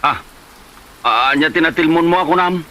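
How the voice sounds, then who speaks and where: A man's voice: fairly high pitch, normal speed, sharp tone. A man playing a weary, exasperated husband in an Ilocano comedy drama, whining and sputtering with an Ilocano Filipino accent. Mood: baffled, protesting. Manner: whining, sputtering repeated exclamations. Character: exasperated, weary husband who pleads with his wife. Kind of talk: Ilocano comedy radio-style drama acting.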